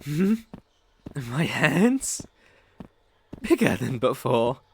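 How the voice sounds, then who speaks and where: A man's voice: mid-range voice, very animated, extremely slow, vocal fry, slightly rough, exaggerated voice. A man with a British accent playing a sadistic, predatory werewolf in an ASMR roleplay, theatrical and menacing, savoring his words. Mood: coaxing, amused. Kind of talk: ASMR roleplay audio drama.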